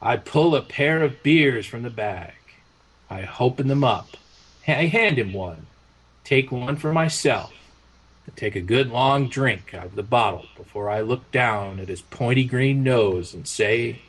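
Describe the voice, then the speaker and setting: A man reading a short literary story aloud in an expressive narrative style. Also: mid-range voice, unhurried, husky. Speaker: story reader.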